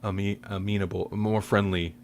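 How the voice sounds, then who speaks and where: Male podcast host, low and gravelly, loose and rambling, informal conversation. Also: flat delivery, normal speed, a little hiss or hum. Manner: rambling, loosely searching for words. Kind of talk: casual chat.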